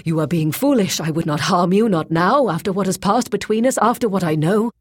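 A woman's voice: fairly low pitch, animated, moderate pace, husky, voice pushed up. A woman voicing a proud, passionate male shapeshifter in a fantasy story, pleading with urgent intensity. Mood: eager pleading. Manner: urgent, imploring, intense delivery.

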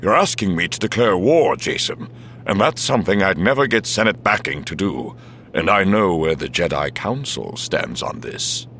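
Guy doing an older wary military chief for an audiobook, formal n emphatic, pitch jumps around a lot. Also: expressive, moderate pace, fairly bright tone, a little hiss or hum.

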